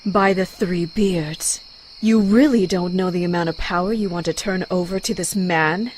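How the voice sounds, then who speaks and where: A woman's voice: fairly low pitch, fairly even intonation, moderate pace, a little mellow, slight background noise, lightly acted. A woman voicing a fierce, proud, hot-tempered young Amazon warrior in a motion comic, blunt and dramatic. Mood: defiant.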